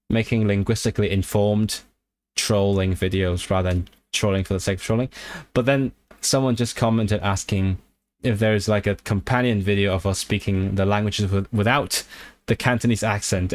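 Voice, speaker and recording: A man, casually chatting on a livestream and answering viewers in a rambling way. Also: fairly low pitch. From Hong Kong. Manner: rambling, with fillers and self-corrections. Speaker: language YouTuber on a livestream.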